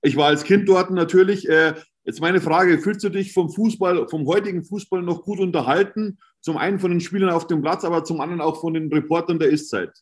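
A middle-aged man with a fairly high voice, the one who puts a friendly-casual question to the guest at a fan talk. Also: fairly even intonation, fairly quick, few pauses.

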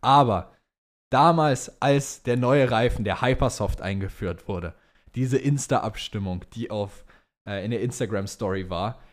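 A man's voice: on the low side, unhurried. Casual, slangy young man chatting. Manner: colloquial, slangy, enthusiastic. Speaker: podcast co-host. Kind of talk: casual fan chat about motorsport.

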